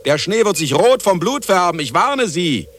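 An actor playing an irascible older scientist who also narrates, theatrical and commanding, in a German radio play. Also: male voice, high-pitched, sing-song, moderate pace, a bit bright, slight background noise. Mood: agitated, indignant.

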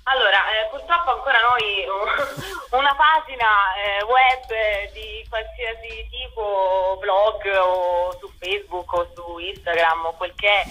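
Bright-voiced, hesitant woman on the phone. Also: mid-range voice, slight background noise, rough recording. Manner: hesitant, halting phrasing. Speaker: theatre writer-director being interviewed. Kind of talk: casual interview talk.

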